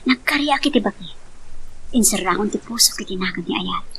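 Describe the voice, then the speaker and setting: A woman's voice: mid-range voice, expressive, fairly quick, fairly bright tone, slight background noise, poor audio. A woman playing a proud, sorrowful abandoned mother, plaintive and reproachful, in an amateur radio-style love drama.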